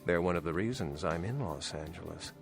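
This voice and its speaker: An actor playing an urbane, world-weary old vampire scholar in a video game, speaking in a wry, erudite, condescending way. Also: male voice, low voice, animated, a little fast, creaky. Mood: musing. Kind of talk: video game character acting. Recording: music behind the voice.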